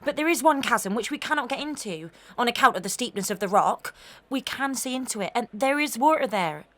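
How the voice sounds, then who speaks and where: An earnest rustic youngster played by a woman in a Victorian audio melodrama. The voice is on the high side, in a dry recording without room sound. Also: expressive, fairly quick, fairly bright tone, no room sound. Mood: urgent reporting.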